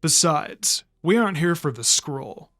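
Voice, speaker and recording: A man's voice: a little high, expressive, unhurried, bright, forceful tone, voice pushed down, heavily acted. A man voicing a menacing, smug young ninja villain with a taunting, formal manner in a story reading. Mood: smug menace.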